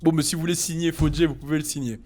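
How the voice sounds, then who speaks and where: A French man with a French accent, hosting a casual chat about rap, relaxed and full of fillers and restarts. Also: a little high, quick pace. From France. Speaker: podcast host.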